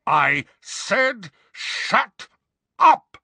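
Storybook casting: male reader as fiery, defiant man, roaring at a raised pitch. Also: sing-song, drawn-out words, gravelly, breathy edge, no room sound, heavily acted. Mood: furious. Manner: roaring, forceful. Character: furious long-haired man, fiery and defiant.

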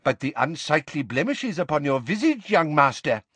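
A man's voice: a little high, sing-song, moderate pace, a bit bright, slightly rough, no room sound, pitched above the performer's own voice, full character voice. A man voicing a grand, pompous old male portrait healer with archaic speech.

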